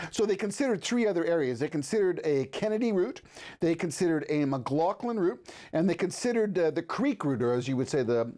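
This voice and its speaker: Higher-voiced man interviewing, measured and probing. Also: a little mellow. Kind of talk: conversational local affairs interview.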